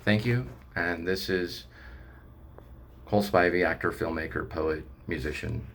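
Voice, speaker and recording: A man with a fairly low voice presents news as an amateur reader. He moves between reading copy and casual talk, in a halting, rambling delivery that trips over the occasional word. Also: vocal fry, background noise. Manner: halting, rambling, stumbles over read words. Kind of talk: casual talk mixed with read-aloud news.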